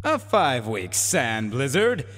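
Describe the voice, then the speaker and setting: A man's voice: medium pitch, sing-song, slow pace, fairly bright tone, full character voice. A man playing a pompous, haughty supercomputer in a science fiction radio drama, declaiming in grandiose archaic phrases. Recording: long reverberant hall echo.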